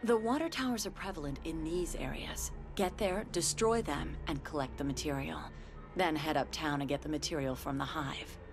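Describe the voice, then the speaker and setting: A woman's voice: deep voice, moderate pace, over music. An actress playing a businesslike female scientist in a video game, giving clinical, instructive mission briefings. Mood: focused, businesslike.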